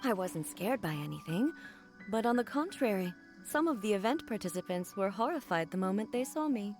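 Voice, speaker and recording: A woman playing a dignified, composed female ruler in a video game, speaking formally and politely with measured phrasing. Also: medium pitch, very animated. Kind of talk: video game cutscene acting. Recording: music behind the voice.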